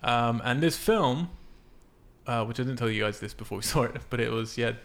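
A man's voice: mid-range voice, a little fast, fairly bright tone. A man hosting a casual film podcast, explaining things in an informal, sweary way. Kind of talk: casual film discussion from notes.

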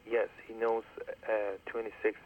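A man's voice: on the low side, monotone. Hesitant male caller on a phone line. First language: Persian. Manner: halting, many hesitations, polite.

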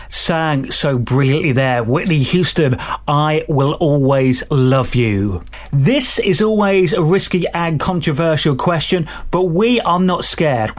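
Male local radio DJ, slightly high pitch, chatty links with dry self-deprecating humour. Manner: chatty, lightly self-deprecating, tongue-in-cheek.

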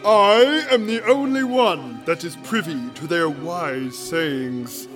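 Showy fraud mystic, high voice, wildly swooping pitch, grandiose, audio drama. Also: male voice, expressive, takes it slowly, over loud music. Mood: ominous, showy. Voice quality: put-on showy weird voice. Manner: theatrical, grandiose, stammers when flustered. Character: pompous charlatan male seer, grandiose showman.

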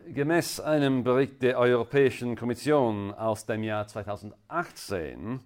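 A man from England with an English accent, a native English speaker preaching as a guest in halting, deliberate non-native speech. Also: medium pitch, a little mellow. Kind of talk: sermon.

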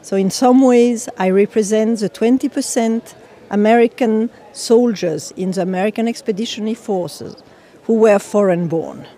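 A woman's voice: mid-range voice, moderate pace, mellow. A woman from France with a French accent, a commission member giving an earnest, formal interview statement outdoors. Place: outdoors at a public event.

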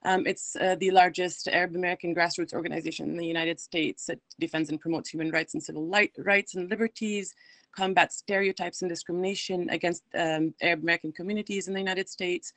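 A woman from Palestine, a guest on a radio talk show, speaking in a measured way with frequent fillers. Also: fairly low pitch, fairly even intonation, a bit bright, no echo. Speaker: guest, advocacy director. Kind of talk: radio interview.

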